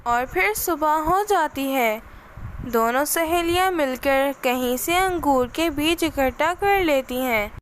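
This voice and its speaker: A woman with a high voice narrates a children's story. She reads the script at a slow, unhurried pace, as the storyteller of a cartoon tale. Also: expressive.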